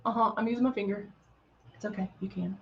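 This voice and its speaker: A woman's voice: mid-range voice, fairly even intonation, fast, gravelly, slight background noise. A middle-aged woman with a Texan country accent, chatting upbeat through a live makeup tutorial and greeting viewers as she works. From Texas. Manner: chatty and upbeat, talks while applying makeup, playful sound effects. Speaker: makeup brand host. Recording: dull lo-fi livestream audio.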